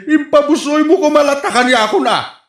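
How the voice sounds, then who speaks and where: A man's voice: very high voice, fairly quick. A man acting a lovesick, blustering old uncle. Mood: wounded, jealous. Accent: northern Philippine. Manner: stammering, melodramatic. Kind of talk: comedy radio drama acting. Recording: roomy, echoing voice recording.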